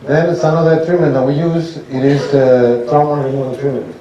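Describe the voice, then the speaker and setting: A man gives an informal lecture as a doctor, speaking haltingly and asking his audience questions. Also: mid-range voice, fairly even intonation, dull audio, crowd noise. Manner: halting, restarts sentences, asks audience rhetorical questions. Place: lecture hall. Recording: echoey hall, from a distance, faint noise.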